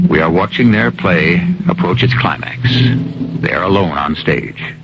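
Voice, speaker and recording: A man hosting and narrating a radio mystery in a deliberate, suspenseful, dramatic scripted style. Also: deep voice, creaky, a little hiss or hum. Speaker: host and narrator. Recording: muffled old radio broadcast, music behind. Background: music.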